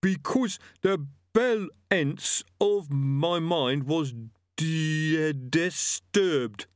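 A man's voice: on the high side, expressive, measured pace, no echo, clean studio sound, voice pushed up. A man voicing the ghost of a thuggish male butcher who sounds aggrieved and self-pitying and stumbles over long words.

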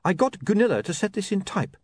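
A man's voice: sits high, big pitch swings, fairly quick, slightly rough, dry recording, voice pushed up, heavily acted. A man putting on a shy, hesitant young woman. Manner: hesitant, with soft ums.

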